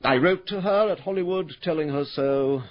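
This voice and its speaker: An actor playing a cold, sinister middle-aged aristocrat in a radio drama, pompous and disdainful, with an upper-class English accent. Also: male voice, on the high side, moderate pace, highs cut off, lightly acted.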